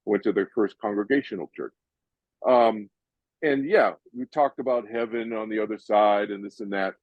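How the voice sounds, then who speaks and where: An older man from Massachusetts hosting an audience Q&A over a video call, chatty and animated, often restarting his sentences. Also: on the low side, normal speed, no room sound, phone-quality sound. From Massachusetts, USA. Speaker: host answering audience questions.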